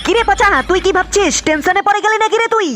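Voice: extremely high pitch, fast talker, slight background noise. An actor voicing a bald, aging bachelor in a comedy cartoon, chatty and teasing, with a processed cartoon voice. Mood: nosy, teasing. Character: older bald bachelor man, teasing village gossip. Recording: loud music behind voice.

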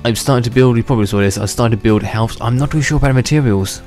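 A man's voice: mid-range voice, rapid-fire, a little mellow. A young man giving casual, rambling commentary while playing a game, restarting his sentences and chuckling to himself, his voice a little worn from being unwell. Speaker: gaming channel host. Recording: faint background music under voice.

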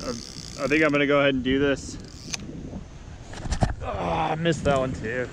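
A man in his thirties narrates his fishing trip in a plain, matter-of-fact way, speaking to an action camera strapped to him. He stands outdoors on a riverbank below a dam, and wind and rushing water stay clearly audible behind his voice. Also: on the high side, fairly even intonation. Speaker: fishing vlogger. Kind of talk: casual outdoor vlog narration. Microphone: action camera, chest or head mounted.

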